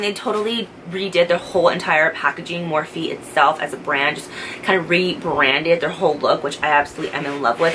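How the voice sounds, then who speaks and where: A woman's voice: on the low side, background noise. A young woman with a congested voice from a cold, chatting casually and enthusiastically as a beauty vlogger at home, close to the camera. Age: young adult. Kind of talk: casual product review. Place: home beauty room, indoors. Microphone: camera at close range.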